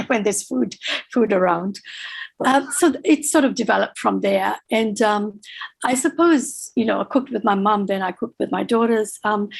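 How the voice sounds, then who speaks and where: Gravelly-voiced woman from Fiji, hesitant. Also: medium pitch. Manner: thoughtful, many ums and restarts. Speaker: guest, author. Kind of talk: casual interview over video call. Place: home room with bookshelf. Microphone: video call webcam.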